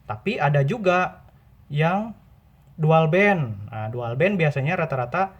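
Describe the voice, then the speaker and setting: A man's voice: a little high, lively intonation, unhurried, lo-fi sound. A man gives an informal tutorial, explaining patiently by repeating and rephrasing his points. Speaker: presenter giving buying tips.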